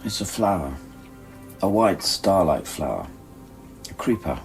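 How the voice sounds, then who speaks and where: An actor playing a lovesick, anguished man in a radio play, intense and moving between murmurs and shouts. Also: low voice, sing-song, measured pace, soft background music, heavily acted. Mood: tender, subdued.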